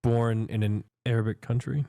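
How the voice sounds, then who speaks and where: A man reacting live on stream, stopping and restarting as he asks questions and speaks to his chat. His voice is mid-pitched. The sound is dry and close. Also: level tone, creaky, dark tone, no room sound. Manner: halting, stumbling questions, addresses chat. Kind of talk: casual livestream reaction.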